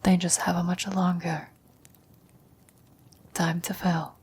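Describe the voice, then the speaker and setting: A woman playing a frail, dying old woman in a whispered ASMR roleplay, gentle, wistful and philosophical, speaking slowly and haltingly. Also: on the low side, flat delivery, exaggerated voice. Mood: calm, philosophical. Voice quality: breathy whisper. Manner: slow, halting, reflective delivery with long pauses.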